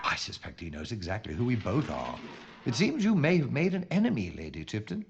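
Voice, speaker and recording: Male actor as composed British wartime inspector, audio drama. Dry, formal wit with animated inflection, slightly dull sound. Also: medium pitch, very noisy. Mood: wry, calculating. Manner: formal, droll, measured. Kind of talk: period audio drama acting. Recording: muffled, with noticeable background ambience.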